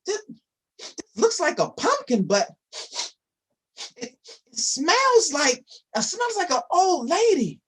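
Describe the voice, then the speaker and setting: A man voicing a puzzled tiger in a children's story, speaking haltingly. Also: very high-pitched, wildly swooping pitch, fairly bright tone, voice pushed up, heavily acted.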